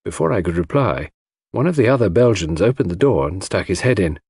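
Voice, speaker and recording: A man's voice: low voice, lively intonation, a little fast, a little mellow, husky, no echo, studio quality. A man reading scripted first-person mystery narration as an audiobook narrator.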